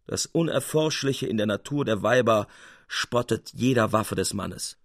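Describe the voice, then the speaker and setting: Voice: medium pitch, animated, a little slow, dry recording, clean studio sound. A man reading the part of a worldly, sceptical friend in an eloquent, emphatic, confiding manner. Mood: brooding, emphatic. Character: worldly male painter friend, wry, brooding, sceptical of women.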